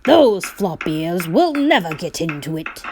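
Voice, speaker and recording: A woman's voice: lowish voice, sing-song, measured pace, darkish tone, slight background noise. A woman voicing a stubborn, boastful man gardener from a storybook, huffing and exclaiming as he gloats. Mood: smug defiance.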